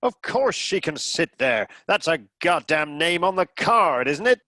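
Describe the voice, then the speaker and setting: Blustering American husband played by a man, comedy radio play: forceful, highish, mocking, dry close sound. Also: sing-song, no room sound, full character voice. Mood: irritated. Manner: brash, mocking, quick to threaten. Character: blustering, sarcastic, bullying rich American husband.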